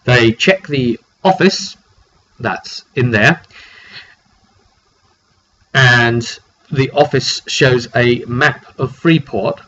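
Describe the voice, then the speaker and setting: A man's voice: medium pitch, fairly bright tone. Man with a cold, dull noisy recording. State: has a cold, frequent throat clearing. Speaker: game master recapping his tabletop roleplay sessions. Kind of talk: casual recap storytelling to camera. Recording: dull lo-fi makeshift mic, clearly noisy.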